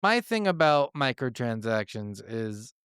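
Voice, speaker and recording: A man's voice: medium pitch, big pitch swings, unhurried, a bit bright, smooth, no room sound, clean studio sound. A man co-hosting a casual gaming podcast, talking informally and swearing freely. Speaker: podcast co-host.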